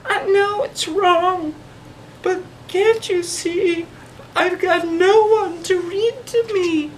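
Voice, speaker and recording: A man's voice: very high-pitched, takes it slowly, slight background noise, pitched above the performer's own voice, rough recording. A man voicing a small, sad, timid storybook creature, apologetic and mournful as he draws out his words. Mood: tearful pleading.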